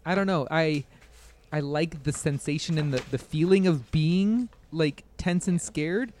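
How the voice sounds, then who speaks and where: A man co-hosting a podcast, chatting casually about video games in a halting way, rephrasing as he thinks. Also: on the high side, darkish tone, a little hiss or hum. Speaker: podcast co-host.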